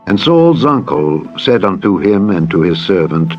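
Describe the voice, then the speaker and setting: A man's voice: low voice, sing-song, measured pace, darkish tone. A man narrating scripture in a solemn, formal, dramatized reading style. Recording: dull radio-like sound, music behind.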